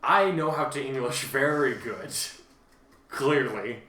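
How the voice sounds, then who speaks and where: A man's voice: medium pitch, slow, bright, forceful tone. Casual man hosting and reading his story. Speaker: podcast host and story author.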